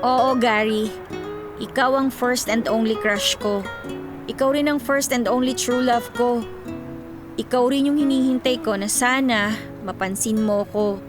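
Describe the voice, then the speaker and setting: A woman acts out a Filipino radio drama heroine in her twenties with a fairly high voice. She is a teasing, secretly lovelorn young woman, playing her scenes over background music. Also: steady tone, a little slow, close to an everyday voice. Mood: wistful, confessing. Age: 20s. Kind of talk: Tagalog radio drama acting. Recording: music behind the dialogue.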